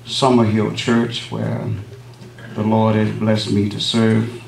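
A middle-aged man, a visiting pastor, opening his sermon and praying from the pulpit with a measured cadence and frequent amens. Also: lowish voice, monotone, gravelly, slight background noise. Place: wood-paneled church sanctuary. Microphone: lectern microphone, camera at back of room. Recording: echoey room, distant camera, congregation responses.

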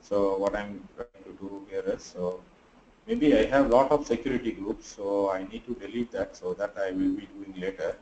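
A sleepy man teaches a live class over a video call, pausing often and thinking aloud as he works. His voice is mellow and dark, and the audio sounds dull and roomy, with a faint hiss underneath. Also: on the low side, fairly even intonation. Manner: halting, thinks aloud with many fillers. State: sleepy and tired. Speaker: online class instructor. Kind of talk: live screen-share tutorial.

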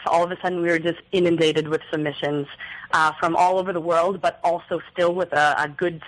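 A woman's voice: fairly low pitch, a bit bright, low-quality recording. A young woman from New Brunswick, a magazine editor, answering questions thoughtfully in a radio studio interview, with many fillers and restarts. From New Brunswick, Canada. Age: young adult. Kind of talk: radio interview, reading aloud.

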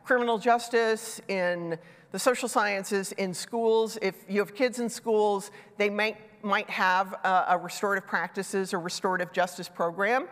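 A woman's voice: medium pitch, steady tone, a little slow, fairly bright tone. A middle-aged woman giving a conversational conference talk on stage with a headset mic, a little congested, adding self-deprecating asides. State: congested from a sinus infection. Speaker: conference speaker. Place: large dark stage hall. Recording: reverberant conference hall.

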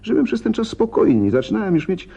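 An actor in a radio drama playing a frightened, helpless young gentleman, telling his story hesitantly and dramatically, with pauses. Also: high-pitched, big pitch swings, gravelly, husky, lo-fi sound, full character voice. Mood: troubled.

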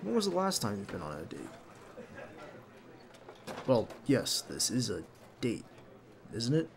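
A man playing a nervous, devoted bodyguard on a date in an intimate ASMR roleplay, speaking softly and hesitantly with some gentle teasing. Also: mid-range voice, huge pitch swings, unhurried, noisy background, full character voice. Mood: casual, attentive. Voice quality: creaky. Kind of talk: ASMR roleplay acting, intimate one-sided dialogue.

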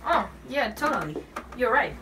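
Teen girl playing a shrill, whining guest chef. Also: big pitch swings, moderate pace, pushed and bright. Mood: indignant, bitter. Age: teens. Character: spiteful, whining injured female guest chef. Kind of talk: amateur scripted TV show acting. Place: home bedroom. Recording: distant camera mic with room noise.

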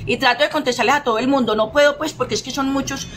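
A woman's voice: high voice, steady tone, fast talker, a bit bright, a little hiss or hum. A middle-aged Colombian woman chatting casually through a craft demonstration, affectionate and repetitive, full of endearments and diminutives. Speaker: craft tutorial host.

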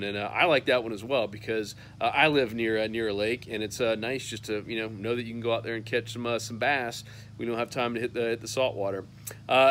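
A man's voice: mid-range voice, a bit bright, slight background noise. A man in his thirties hosting a fishing newsletter roundup, talking casually and upbeat to a phone camera on an outdoor patio, with many "uh" fillers. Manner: upbeat and chatty, lots of "uh" fillers.

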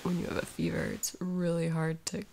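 A woman playing a sleepy, sick, clingy girlfriend in an intimate audio roleplay, speaking softly and haltingly, often near a whisper. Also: fairly low pitch, big pitch swings, a little hiss or hum. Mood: sleepy, musing.

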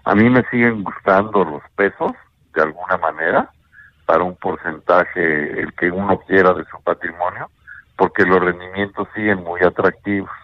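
An older man with a Mexican accent, a bank executive giving measured investment advice on a radio interview, often ending points with a questioning tag. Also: low voice, fairly even intonation, dry recording, dull audio. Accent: Mexican Spanish.

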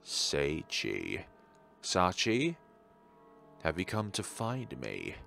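A man voicing an ominous shadowy ghost in a horror game, halting and repetitive as it longs for someone lost. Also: sits low, wildly swooping pitch, gravelly, fairly bright tone, soft background music, heavily acted. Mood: longing, eerie. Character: ominous shadowy ghost obsessively calling a lost loved one.

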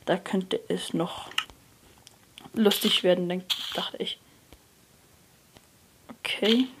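A young person, likely a teen, giving hesitant gameplay commentary, often correcting themselves and dropping to a near whisper. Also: female voice, lowish voice, fairly even intonation, slow pace. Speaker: let's player. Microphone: phone screen recorder mic. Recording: noisy lo-fi phone recording.

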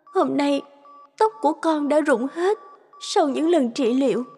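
A high, soft-spoken young woman, grieving and tearful, the heroine of an animated drama. Her lines sit over loud background music. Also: a little slow. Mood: sad. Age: young adult. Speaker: voice actor.

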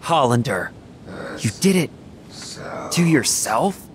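An actor playing a young, protective soldier hero in a video game, with a punchy, emotional delivery. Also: male voice, mid-range voice, sing-song, slow pace, slight background noise. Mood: dismayed. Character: young heroic male soldier, brash, protective, earnest. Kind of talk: English video game dub acting.

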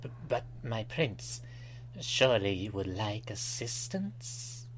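A man voicing a cruel, servile minion with an oily, drawn-out delivery in an amateur fan fiction reading. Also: on the low side, big pitch swings, slight background noise.

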